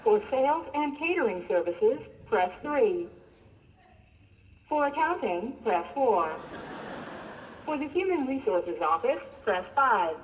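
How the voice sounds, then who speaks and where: A woman's voice: a little high, big pitch swings, slow pace, long pauses. A woman reading recorded hotel phone menu options as a scripted announcement. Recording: noisy, tinny phone line.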